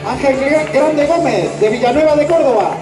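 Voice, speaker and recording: Race announcer, high voice, emphatic drawn-out names, echoing PA amid crowd noise. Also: animated. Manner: drawn-out emphatic delivery of names, rallying applause. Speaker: race finish-line announcer. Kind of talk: live sports announcing over a PA. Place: outdoor race finish line. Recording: echoey PA at crowded event, music behind.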